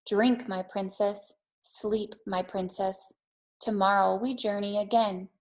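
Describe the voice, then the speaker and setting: Woman as storybook mother, tender, soothing, hushed, slow. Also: medium pitch, fairly even intonation, natural voice.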